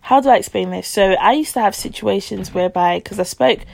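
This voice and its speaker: A woman's voice: mid-range voice, a little fast, darkish tone. A young woman, telling a personal story casually to her listeners on a podcast. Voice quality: gravelly vocal fry. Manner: chatty, confiding, addresses listeners as guys.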